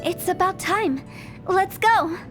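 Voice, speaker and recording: High-voiced, playful eccentric young woman. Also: slow pace, poor audio. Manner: theatrical, teasing storyteller. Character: playful, eccentric young female funeral parlor director. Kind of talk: video game voice acting. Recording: music under the voice.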